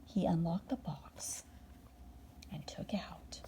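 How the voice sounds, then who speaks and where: A woman reading a children's bedtime story aloud expressively, drawing out words and dropping into hushed moments. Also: fairly low pitch, slow pace, whispered, low-quality recording. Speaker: storybook reader.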